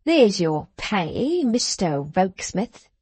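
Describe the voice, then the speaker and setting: Woman reading a bullying, mocking older rich man in a storybook; dark dry voice. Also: medium pitch, big pitch swings, slow, breathy edge, dry recording, heavily acted. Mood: scornful.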